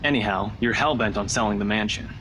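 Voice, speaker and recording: A man's voice: lowish voice, fast talker, sharp tone, slight background noise. A man playing a laid-back young investigator in a visual novel, casually and smugly teasing a friend.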